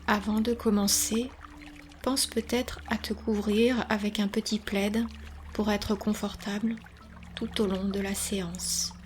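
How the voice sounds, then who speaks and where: A woman leading a guided relaxation as a yoga nidra guide, speaking calmly and soothingly with long pauses between instructions. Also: medium pitch, slight background noise.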